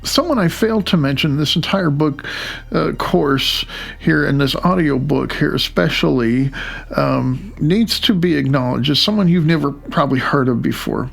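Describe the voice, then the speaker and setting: Gravelly man reading his own book. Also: on the high side, fairly bright tone. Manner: loose, halting delivery with fillers and chuckles. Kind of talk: reading an audiobook dedication with asides. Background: faint music.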